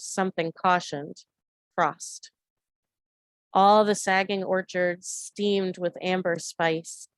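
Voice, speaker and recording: Woman reading a poem, kinda low voice, slow pace, dry sound. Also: no echo. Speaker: congregation member reading poetry. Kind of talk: poetry reading.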